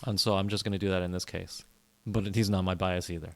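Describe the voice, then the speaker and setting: A man's voice: low-pitched, little pitch movement, fast talker, gravelly. A man co-hosting a casual podcast chat, opinionated and rambling, often restarting his sentences. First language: English. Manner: opinionated, rambling, repeats himself, frequent restarts. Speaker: podcast co-host.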